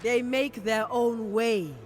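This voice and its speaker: Slow, commanding warrior priestess. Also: female voice, on the high side, fairly even intonation, soft background music. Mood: confident, wry. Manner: measured, declamatory. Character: bold, commanding warrior priestess, proud champion of her people. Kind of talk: video game cutscene acting.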